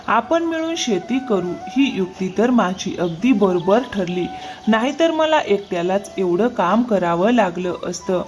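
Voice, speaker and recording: A woman's voice: mid-range voice, lively intonation, a little slow, darkish tone, faint music behind, lightly acted. A woman voicing a scheming male farmer in a cartoon moral story, talking in an ingratiating, excuse-making way. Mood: smugly scheming.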